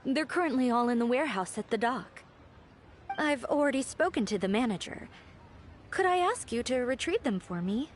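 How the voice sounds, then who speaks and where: An actress voicing a gentle, earnest young townswoman in an anime game, polite and sincere. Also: on the high side, animated, normal speed, a little hiss or hum, close to an everyday voice. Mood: polite, pleased. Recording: game music behind.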